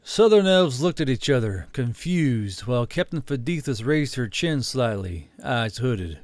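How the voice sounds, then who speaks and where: Give me a man narrating a fiction audiobook, with a middle-pitched voice in a close, very dry recording. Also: big pitch swings, a little slow, darkish tone, no room sound.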